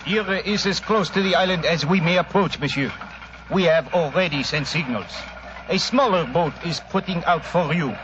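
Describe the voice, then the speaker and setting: An actor playing a polite boat skipper in a 1940s radio drama. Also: male voice, on the high side, moderate pace, natural voice. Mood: businesslike. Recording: noisy, dull old radio recording.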